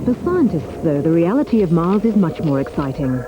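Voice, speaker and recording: A woman's voice: on the low side, sing-song, a little fast, noisy background. A woman narrating scripted television trailers. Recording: dull 1980s TV recording. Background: music.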